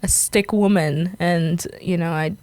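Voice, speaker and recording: A woman's voice: fairly low pitch, steady tone, unhurried, darkish tone. A young woman from Guyana, a guest talking casually in an interview with lots of fillers and frequent laughs. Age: young adult. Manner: chatty, laughs often, many fillers. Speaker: podcast guest.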